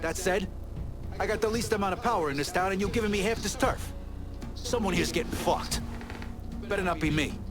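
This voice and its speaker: An actor playing an aggrieved mobster in a video game, sarcastic and blunt. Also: male voice, high voice, brisk, pauses often, over music, natural voice. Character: gruff, grumbling male mobster wanting his cut. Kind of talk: video game cutscene acting.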